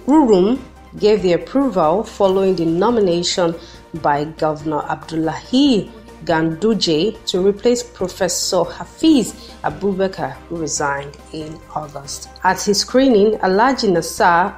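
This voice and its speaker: A woman with a Nigerian accent reading the news in a measured, formal way as the show's newsreader. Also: medium pitch, lively intonation, darkish tone, faint music behind.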